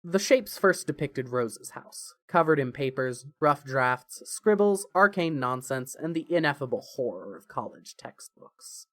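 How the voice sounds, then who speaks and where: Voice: medium pitch, expressive, unhurried, few pauses, a bit bright, no echo. A narrator reading scripted fiction in an audio story.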